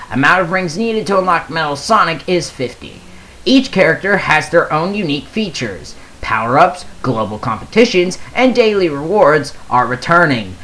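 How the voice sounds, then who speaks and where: Casual gaming commentator with swinging, lively pitch. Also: poor audio. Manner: halting, stumbles over words while reading. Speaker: gaming video creator. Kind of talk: casual commentary, reading aloud a post.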